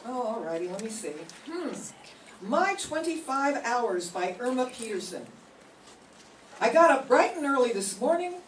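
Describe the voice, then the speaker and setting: A woman's voice: a little high, sing-song, a little slow, recorded from a distance, a little hiss or hum. An older woman playing Jane, a wry, sensible young woman, in a staged 1940s radio comedy reading. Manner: dry, sarcastic. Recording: roomy hall, heard from afar.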